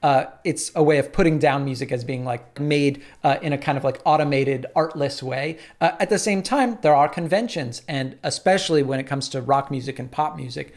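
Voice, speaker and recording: Guy with a bit of gravel in his voice, slightly high, walking thru his song spreadsheet, lots of uh and like. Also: a little fast, hardly any pauses. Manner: relaxed, lots of "uh" and "like". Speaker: musician explaining his workflow. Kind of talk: casual tutorial talk.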